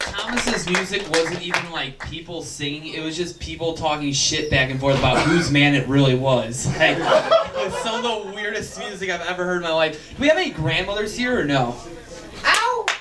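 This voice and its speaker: A man in his late twenties doing a stand-up set, nerdy and self-deprecating with quick asides. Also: on the high side, lively intonation, very noisy. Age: 20s. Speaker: stand-up comedian. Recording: echoey club, far mic, crowd.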